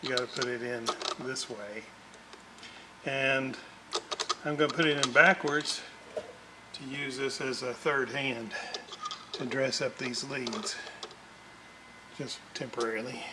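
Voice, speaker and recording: A man talks through a hands-on repair at a very slow pace, pausing and thinking aloud as he goes. His voice sits at a middling pitch. The recording carries clear background noise. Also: fairly even intonation. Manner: halting, thinking aloud while working. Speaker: hobbyist restorer presenting a repair video. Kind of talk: unscripted hands-on workbench demonstration.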